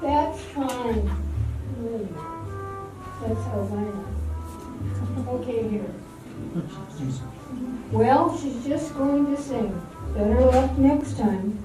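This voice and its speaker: A woman's voice: fairly high pitch, takes it slowly, pauses often. A woman playing a gossipy, fawning female assistant in an amateur radio drama, recorded from afar in a very echoey hall. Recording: distant, very echoey hall, music behind.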